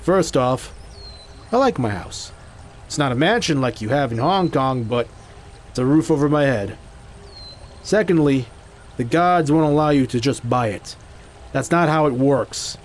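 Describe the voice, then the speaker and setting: A man's voice: medium pitch, expressive, a little slow, pauses often, lightly acted. A man playing a confident, stern fighter who guards a sacred treasure in an audio drama, firm and teasing. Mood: stern, assured. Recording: faint background noise.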